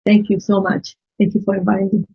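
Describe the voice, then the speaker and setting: Woman guest with a Chinese accent, husky and gravelly, easygoing explainer. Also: mid-range voice, flat delivery, fast, dark tone, lo-fi sound. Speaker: interview guest. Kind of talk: conversational interview answers.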